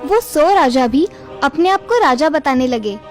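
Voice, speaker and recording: Female storyteller, notably high pitch, scripted children's tale narration, light music behind. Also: animated, a little slow.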